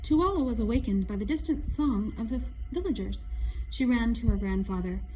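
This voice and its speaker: A woman's voice: fairly high pitch, noisy background. A woman reading a children's story aloud, stopping now and then to put questions to her listeners. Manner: pauses to ask explanatory questions. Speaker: storybook reader.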